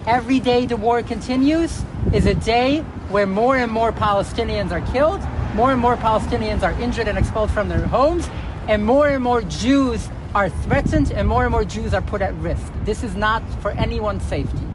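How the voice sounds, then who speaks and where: A woman's voice: fairly high pitch, moderate pace. A woman making a public protest statement in repetitive rhetorical phrases, outdoors with traffic noise. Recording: noisy outdoor street with traffic.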